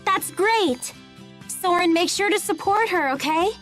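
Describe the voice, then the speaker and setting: A woman's voice: very high-pitched, a little slow, a bit bright. An actress playing a perky, earnest, easily flustered teenage girl in a video game. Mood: cheerful, earnest. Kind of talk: English video game voice acting. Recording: light music behind the voice.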